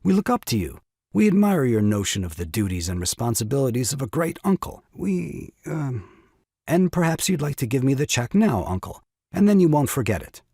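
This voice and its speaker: An actor playing an excitable, scheming young father and ingratiating nephew in an audio farce, flustered and wheedling. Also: medium pitch, normal speed, husky, no echo, studio quality. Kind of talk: stage farce audio drama acting.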